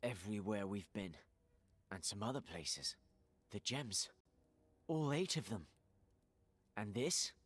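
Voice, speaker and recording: A man playing a grim, urgent adventurer leading his party in a fantasy game, terse and decisive, with a husky voice. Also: lowish voice, animated, takes it slowly, pauses often, heavily acted. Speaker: game character, leader of adventurers. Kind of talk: fantasy video game cutscene acting.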